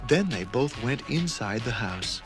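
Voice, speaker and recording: A man narrating a scripted children's story in a measured way with dramatic pauses. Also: mid-range voice, sing-song. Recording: 1980s read-along record with music.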